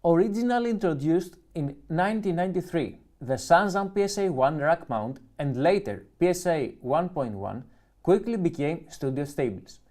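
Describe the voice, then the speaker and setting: A man from Greece with a Greek accent, chatting casually through a live-stream unboxing and reading the manual aloud with halting restarts. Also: a little high. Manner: relaxed, halting, restarts and self-corrections while reading. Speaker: music gear reviewer.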